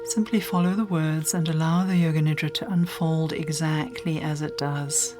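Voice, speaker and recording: Low-pitched woman guiding meditation over music. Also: fairly even intonation. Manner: soothing, gentle, unhurried with long pauses. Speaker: meditation guide. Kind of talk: guided meditation.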